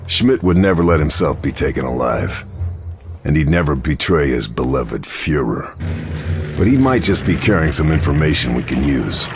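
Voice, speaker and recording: An actor playing a grim Second World War sniper agent, narrating missions in a terse, grave way. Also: male voice, extremely low pitch, noisy background, full character voice. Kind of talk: scripted cinematic mission briefing narration.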